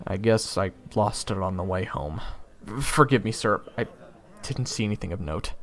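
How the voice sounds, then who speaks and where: A man plays a young soldier telling his own fantasy tale in an audio drama. The voice is fairly low and very animated, eager yet nervous, with a wry, self-deprecating streak. Music plays behind it. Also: normal speed, close to an everyday voice. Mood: nervous, flustered. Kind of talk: first-person fantasy audio drama narration. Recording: orchestral game music behind.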